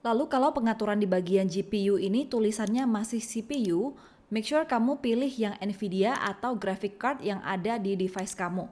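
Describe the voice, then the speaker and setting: A woman presenting a scripted software tutorial in an instructional, step-by-step manner. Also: mid-range voice, normal speed.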